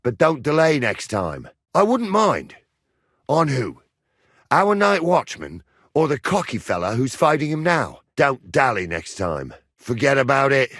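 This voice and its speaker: Swaggering medieval captain, animated, dry recording. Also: male voice, mid-range voice, measured pace, no echo, full character voice. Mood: brisk, bantering. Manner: colloquial, blunt, teasing, rustic turns of phrase. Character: gruff, cocky medieval captain who runs bets. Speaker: video game voice actor. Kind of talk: video game character dialogue lines.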